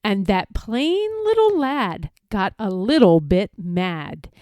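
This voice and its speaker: A woman reads a rhyming children's story aloud as narrator. She takes her time and varies her pitch widely, in a close, dry sound. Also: sing-song, slow pace, darkish tone, no room sound.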